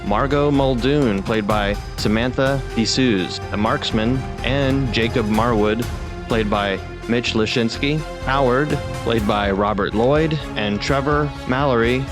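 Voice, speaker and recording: A man's voice: medium pitch, unhurried, over music. A man reading scripted podcast credits and announcements as the show's producer. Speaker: writer-producer and announcer of the show.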